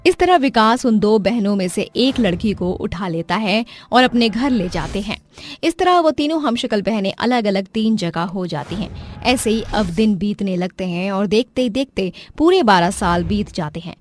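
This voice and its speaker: A woman's voice: mid-range voice, animated, moderate pace, a little hiss or hum. A woman narrator reading a scripted dramatic story for listeners. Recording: music behind the voice.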